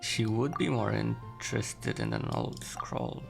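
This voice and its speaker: A woman streamer with an extremely low, creaky voice. She gives slow, playful, casual game commentary, with game music clearly behind her. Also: wildly swooping pitch. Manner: laid-back, playful, reacting to game dialogue. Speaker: gaming streamer. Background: video game music.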